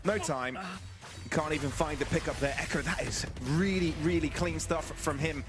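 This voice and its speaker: A man doing live play-by-play commentary on an esports match, conversational and breaking into excited calls. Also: fairly high pitch, moderate pace, music in the background.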